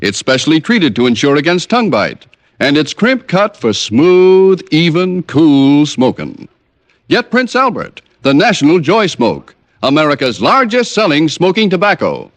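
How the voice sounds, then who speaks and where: A man announcing on a 1950s radio program in an emphatic, lively delivery. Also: on the high side, measured pace.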